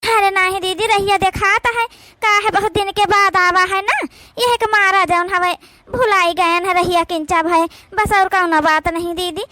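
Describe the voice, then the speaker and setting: Woman playing a bright-voiced, sly Awadhi daughter-in-law. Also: very high-pitched, steady tone, normal speed, slight background noise. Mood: evasive. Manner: evasive, rambling with filler phrases. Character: sly, work-shy village daughter-in-law, adult woman. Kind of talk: village comedy cartoon dialogue.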